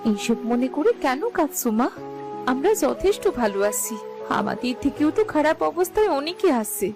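A woman's voice: high voice, very animated, normal speed, over music. A woman playing a caring, reassuring young village daughter in a cartoon. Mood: consoling. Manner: consoling, reassuring. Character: caring grown-up village daughter, consoling and hopeful. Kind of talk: Bengali fairy-tale cartoon dialogue.

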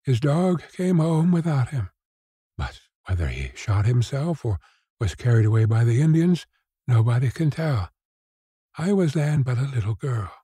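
A man's voice: mid-range voice, sing-song, moderate pace, dark tone, breathy edge, dry recording, studio quality. A man voicing a plain-spoken young village mother in a storybook reading, matter-of-fact with light exclamations. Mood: matter-of-fact, sad.

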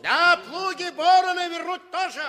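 An actor playing a bullying, servile estate steward, barking orders in a 1960s Soviet radio play. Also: male voice, very high-pitched, normal speed, bright, forceful tone. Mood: bullying, threatening. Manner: barking, haranguing, repetitive orders. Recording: reverberant hall with crowd murmur.

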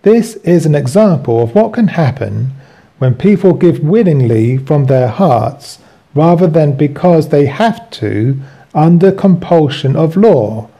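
A man reading a scripted religious lesson aloud as a teacher, in measured phrases. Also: a little high, animated, warm tone. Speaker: Bible teacher.

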